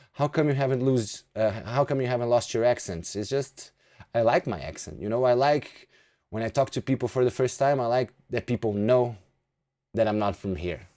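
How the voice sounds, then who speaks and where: A man's voice: mid-range voice, dark tone. A man in his thirties from Brazil with a Brazilian accent, chatting casually to viewers on a live stream and often repeating words as he restarts. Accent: Brazilian Portuguese. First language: Portuguese. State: sleepy.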